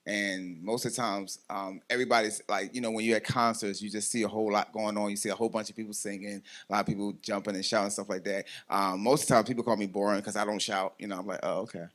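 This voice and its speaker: A man's voice: on the low side, fairly even intonation, fast, hardly any pauses, fairly bright tone. A man in his forties hosting a talk show, rambling with many ums and laughing often. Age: 40s. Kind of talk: casual chat interview.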